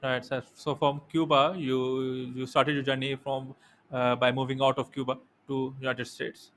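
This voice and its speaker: Faint noise sits behind a man hosting a podcast as a non-native English speaker. He keeps a fairly even pitch, asking questions in a courteous, halting, formal way. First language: not English. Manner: halting, repeats words, courteous. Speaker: podcast host, interviewer. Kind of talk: formal conversational interview.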